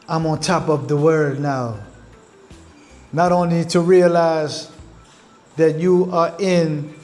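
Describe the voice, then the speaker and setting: An earnest man speaks to his viewers as family in a livestream, with a high voice. He takes his time and weighs each phrase. Soft music plays quietly behind him. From Caribbean. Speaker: channel host.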